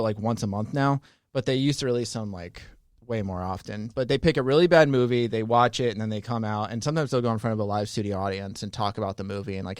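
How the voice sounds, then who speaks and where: A man's voice: mid-range voice, clear, resonant tone, dry recording, studio quality. A man in casual podcast conversation, telling stories in a rambling, conversational way. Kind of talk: casual chat.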